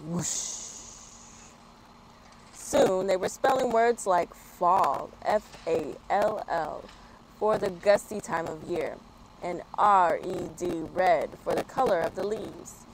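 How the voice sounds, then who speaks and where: A woman hosting a children's story time, reading and chatting in an expressive manner. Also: lowish voice. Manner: expressive, spells words out slowly. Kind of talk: children's picture book read-aloud. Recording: clear background noise.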